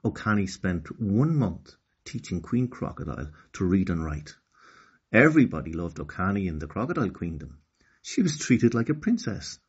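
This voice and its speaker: Animated, husky man telling a children's story. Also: low-pitched, normal speed, highs cut off. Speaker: storyteller, narrator. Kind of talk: expressive children's story narration.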